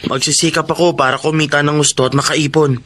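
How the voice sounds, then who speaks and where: A man's voice: fairly high pitch, fairly even intonation, fast talker, fairly bright tone, a little hiss or hum, natural voice. A man playing a guilt-ridden young Filipino father in a radio drama, with an emotional delivery. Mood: earnest.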